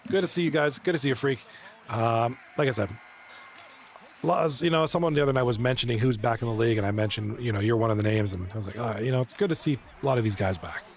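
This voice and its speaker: A man with a hoarse, fading voice doing casual play-by-play commentary on a live stream, chatting with viewers between plays. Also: mid-range voice, quick pace. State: hoarse, losing his voice. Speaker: esports league commentator.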